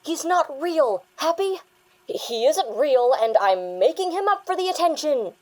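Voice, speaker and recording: A woman plays a young female patient in an audio drama, fed up with being doubted. Her voice is high, and she talks back with sarcasm and combative exasperation. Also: sing-song, unhurried. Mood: sarcastic, fed up. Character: defiant, exasperated young female therapy patient insisting she was saved.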